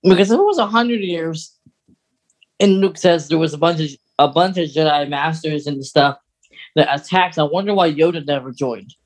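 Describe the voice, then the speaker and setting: A man's voice: fairly high pitch, normal speed, low-quality recording. A man co-hosting a podcast, thinking aloud in a casual, tentative fan discussion. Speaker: podcast co-host.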